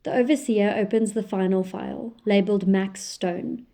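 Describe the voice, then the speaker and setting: Woman narrating with a mellow, dark voice. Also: medium pitch, normal speed, creaky. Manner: even, descriptive. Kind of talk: scripted narration.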